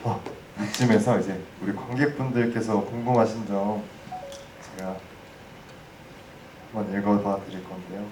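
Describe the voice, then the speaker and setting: A man's voice: fairly low pitch, steady tone, normal speed, pauses often, darkish tone. Guy running a film q&a, echoey hall, mic kinda far off, faint hiss. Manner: hesitant, many restarts. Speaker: actor moderating a post-screening talk. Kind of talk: casual panel talk. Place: cinema hall. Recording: roomy, distant mic, faint noise.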